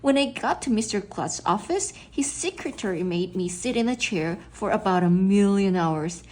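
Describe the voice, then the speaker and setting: A woman reading a children's storybook aloud in a boy's first person, expressive and stumbling now and then over words. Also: medium pitch, normal speed. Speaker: read-aloud narrator.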